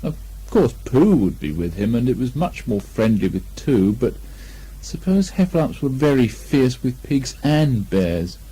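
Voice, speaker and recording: A man narrates a children's story with lots of expression. His voice is mellow and husky, and his intonation swoops widely. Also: medium pitch, sing-song, measured pace. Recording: lo-fi 1980 amateur recording.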